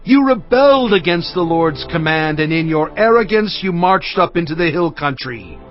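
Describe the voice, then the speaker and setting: A man's voice: on the high side, expressive, moderate pace, close to an everyday voice. A man playing an impassioned leader who recounts and admonishes, oratorical and solemn, in dramatized scripture. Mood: reproachful. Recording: dull audio with music underneath.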